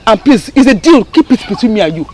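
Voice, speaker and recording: A woman's voice: a little high, big pitch swings, fast, background noise. An actress playing a jealous, vengeful young woman, shrieking and stammering threats through tears. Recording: loud music underneath.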